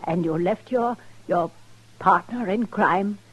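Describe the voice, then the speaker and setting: A woman's voice: mid-range voice, slow pace, husky. An older actress playing a proud, stern grandmother in a radio western, formal and dignified in manner. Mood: stern, disappointed. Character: proud, stern old grandmother of a distinguished family. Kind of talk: 1950s radio western acting.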